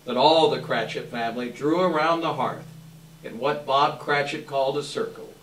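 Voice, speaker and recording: A man giving an expressive live dramatic reading of a classic story as narrator, with measured phrasing. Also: mid-range voice, slight background noise. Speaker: narrator, readers theater volunteer. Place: live event room. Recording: echoey room, distant microphone.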